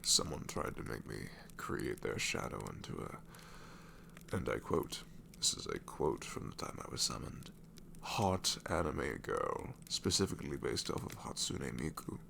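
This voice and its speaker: A man voicing a sassy male shadow demon in an ASMR roleplay, snarky and rambling with soft whispered asides. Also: rumbling low, very animated, unhurried, creaky, a little hiss or hum, exaggerated voice.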